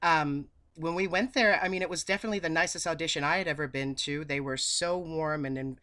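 A man's voice: a little high, brisk, bright, forceful tone. A man in his thirties with a New England accent, chatting effusively as an interview guest and telling stories with emphatic repetition. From Massachusetts, USA. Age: 30s. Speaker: podcast guest, theme park singer.

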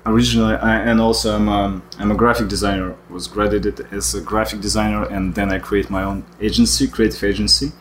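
Halting Russian-accented man, lowish voice. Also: little pitch movement, a little hiss or hum. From Vladivostok, Russia. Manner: informal, halting, repeats words. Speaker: interview guest, artist and designer. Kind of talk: casual chat.

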